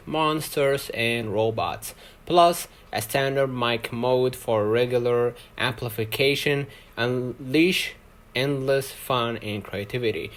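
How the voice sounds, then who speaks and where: A man with a mid-pitched voice presents a product for an online video. He reads scripted promotional lines haltingly, breaking them into short phrases. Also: fairly bright tone. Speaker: product review video host.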